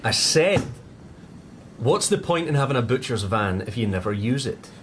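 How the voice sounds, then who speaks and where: An actor playing a probing, nosy investigator in a radio drama, casually needling. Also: male voice, mid-range voice, animated, normal speed, lightly acted. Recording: noisy background with clicks.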